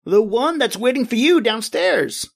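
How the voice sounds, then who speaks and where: A man playing a brash, jovial American newspaperman in old-time radio drama style, slangy, chummy and excitable. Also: extremely high pitch, a little slow, voice pushed up, full character voice. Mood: leering, teasing.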